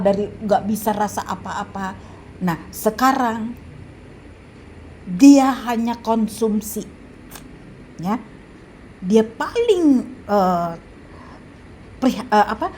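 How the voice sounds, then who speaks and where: A woman in her seventies with an Indonesian accent, talking casually about home remedies, pausing often with fillers and restarts. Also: medium pitch, darkish tone, slight background noise. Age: 70s. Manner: halting, with frequent fillers and restarts. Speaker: health vlogger. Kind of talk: casual advice talk.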